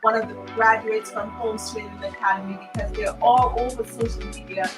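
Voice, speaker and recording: A woman from Trinidad and Tobago with a Trinidadian accent, hosting an online talk show in a lively, teasing way. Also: on the high side, fairly quick, distant mic, music in the background. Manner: lively, laughing and teasing guests. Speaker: host.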